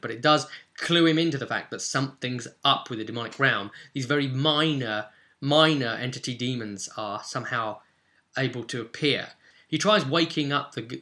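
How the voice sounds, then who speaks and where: Bright, forceful man telling a game story. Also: mid-range voice. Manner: informal storytelling, emphatic with suspenseful pauses. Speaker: game master. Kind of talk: dramatic recap of a tabletop roleplay session.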